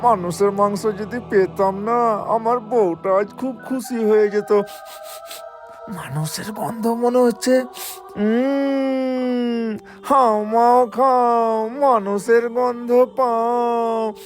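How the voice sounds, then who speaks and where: A man voicing a hungry man-eating ghost in a cartoon, theatrical and gloating, with a drawn-out chanting delivery. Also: very high-pitched, steady tone, soft background music. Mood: hungry, scheming. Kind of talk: cartoon villain acting.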